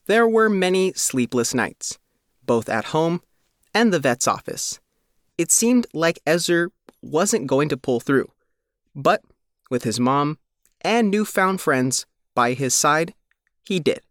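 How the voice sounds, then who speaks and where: A man reading a scripted news feature as its reporter, in measured, deliberate short phrases. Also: on the high side, big pitch swings, dry recording, studio quality. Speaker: reporter reading his own written feature.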